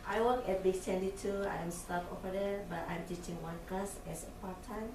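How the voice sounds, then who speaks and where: A woman's voice: mid-range voice, fairly even intonation, moderate pace, recorded from a distance. A woman, introducing herself at a council meeting in simple, friendly phrasing. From Indonesia. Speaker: college staff member speaking at public comment. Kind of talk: informal public introduction. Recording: echoey room picked up from afar, clear noise.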